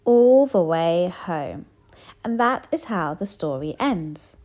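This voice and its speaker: A woman reading a children's story aloud in an animated, expressive way with dramatic emphasis. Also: lowish voice, slow pace. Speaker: storybook reader.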